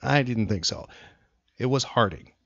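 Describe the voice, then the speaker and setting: A man hosting a podcast in casual banter with a co-host, talking in an animated, teasing way and repeating himself for emphasis. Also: medium pitch, a little slow, dry recording, muffled recording.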